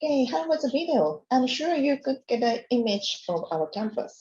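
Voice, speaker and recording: A woman's voice: sits high, big pitch swings, normal speed, a little mellow. A woman giving a scripted university presentation, speaking carefully and formally as she reads through her slides. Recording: dull lo-fi sound.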